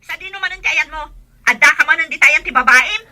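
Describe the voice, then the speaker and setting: A woman's voice: high voice, fairly quick, very noisy, lightly acted. A woman playing a jealous, nagging wife in a radio drama, with an Ilocano accent. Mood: furious, accusing.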